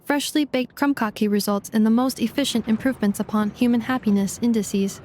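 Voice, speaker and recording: A woman's voice: fairly high pitch, fairly quick, a little mellow, lightly acted. A woman voicing a precise, polite female android helper in a video game cutscene, formal, literal and clipped. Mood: calm precise. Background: game music.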